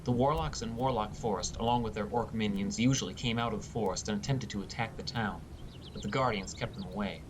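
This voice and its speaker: Man narrating, noisy rumbling recording. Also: a little fast. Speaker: audiobook narrator. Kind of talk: scripted first-person fantasy narration. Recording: rumbly background noise.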